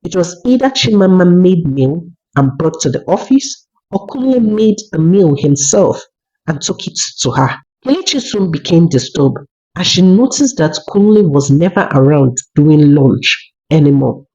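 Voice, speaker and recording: A narrator telling a scripted folktale in a measured way, as a storyteller. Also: medium pitch, lively intonation, darkish tone. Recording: dull lo-fi recording.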